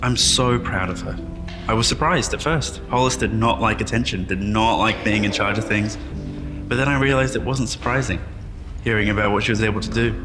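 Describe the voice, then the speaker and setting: A man's voice: lowish voice, close to an everyday voice. Sci-fi audio drama: male actor as modest, reflective cryosleep survivor with dry humor, speaking in a reverberant hall over music. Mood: tender, reflective. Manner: self-deprecating, reflective, conversational. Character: wry, modest man revived from centuries in cryostasis. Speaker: interviewee at a press appearance.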